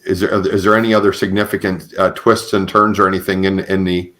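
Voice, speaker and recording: Very deep-voiced man hosting a podcast. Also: little pitch movement, a little fast, fairly bright tone. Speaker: podcast host. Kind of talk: conversational interviewing.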